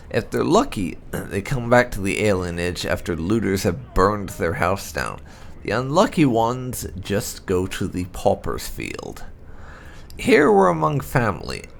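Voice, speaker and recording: A man reading fantasy lore aloud as expressive scripted narration, measured and deliberate with dramatic emphasis. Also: deep voice, gravelly, a little hiss or hum. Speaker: host of a game playthrough channel reading lore entries aloud. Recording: music behind the voice.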